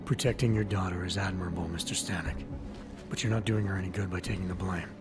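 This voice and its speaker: An actor playing a calm, firm male agent questioning a suspect in a video game cutscene. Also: deep voice, fast, close to an everyday voice. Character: calm male augmented agent, stern interrogator. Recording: game cutscene with music behind.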